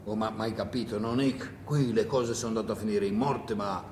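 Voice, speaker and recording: A man's voice: fairly even intonation, normal speed, darkish tone, lightly acted. A man playing an earnest villager in a stage play, confiding and insistent. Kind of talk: solo theatre monologue. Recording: echoey room with noise and rumble.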